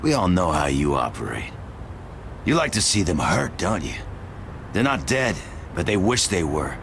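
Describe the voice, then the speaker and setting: A hard, accusing cop in a video game. His low voice moves with lively, pointed intonation. Clear background noise runs under it. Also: moderate pace, exaggerated voice. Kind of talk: dramatic video game acting.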